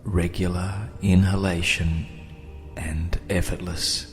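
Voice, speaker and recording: A man narrating a scripted guided meditation in a soothing, measured manner, with long pauses and soft, breathy phrase endings. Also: sits low, little pitch movement, soft background music.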